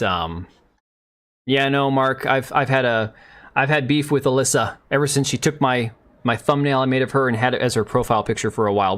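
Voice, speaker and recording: An American man in his thirties hosting a live stream, ranting in a loose, sarcastic, rambling way with a hoarse voice. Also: mid-range voice, a bit bright. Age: 30s. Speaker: livestream host. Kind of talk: casual live commentary rant.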